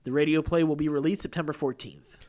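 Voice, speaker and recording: A man with a slightly high voice hosts a relaxed nerd-news podcast. He talks in an easygoing, chuckly way, with phrases that stop and start as he moves between news items and banter. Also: fast talker. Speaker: podcast host. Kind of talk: casual nerd-news chat with some read-out news items.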